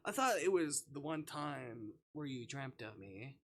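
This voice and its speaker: A man reading aloud the part of a teasing, brooding young man in a visual novel, in a soft, understated delivery. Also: medium pitch, very animated, unhurried, exaggerated voice. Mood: smug.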